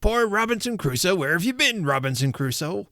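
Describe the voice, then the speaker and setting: A man voicing an excitable old man from a classic storybook, full of childlike feeling and exclamation. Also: high-pitched, a little fast, dry recording, studio quality, voice pushed up, heavily acted. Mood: ecstatic wonder. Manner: exclamatory, emotional, between laughing and crying.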